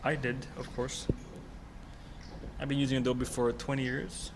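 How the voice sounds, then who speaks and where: A man's voice: mid-range voice, little pitch movement, moderate pace. A man live-streaming as he walks outdoors by the water, talking to his chat in a casual, slangy way. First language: not Swedish. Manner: chatty, slangy, talks to chat. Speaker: livestreamer. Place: outdoors by the water, wind and rain. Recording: outdoor noise behind.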